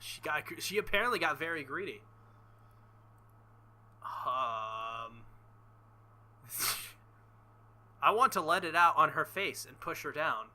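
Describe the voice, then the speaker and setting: A man reading a visual novel aloud with casual commentary, halting and embarrassed, often breaking into nervous laughter. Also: a little high, lively intonation, pushed and bright. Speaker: let's play host.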